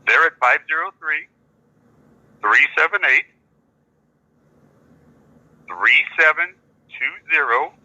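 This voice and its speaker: A man's voice: on the high side, expressive, takes it slowly, pauses often, pushed and bright. A man working as a police dispatcher, speaking firmly and procedurally on an official phone call, often repeating himself. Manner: firm, procedural, repetitive. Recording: echoey telephone call, faint noise.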